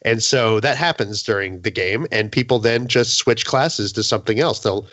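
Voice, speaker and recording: A man's voice: lowish voice, moderate pace, fairly bright tone, no echo. A man in a casual gaming podcast discussion, speaking in an emphatic, opinionated and hyperbolic way. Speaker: podcast panelist.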